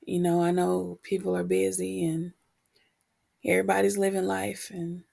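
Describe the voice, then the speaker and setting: A woman podcast host talking reflectively and candidly on her own, her voice husky and prone to cracking as she gets over a cold. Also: fairly low pitch, flat delivery, a little mellow. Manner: candid, unhurried, pausing between short phrases. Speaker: podcast host and motivational coach. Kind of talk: reflective solo podcast talk.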